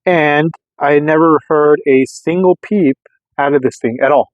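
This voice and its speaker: A man's voice: a little high, a little slow, no room sound. Man rambling casually, dry and slightly dull sound. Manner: repetitive, insistent, circling back to restate points. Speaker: radio enthusiast vlogger. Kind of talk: casual rambling product test talk to camera. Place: in a vehicle on a road test.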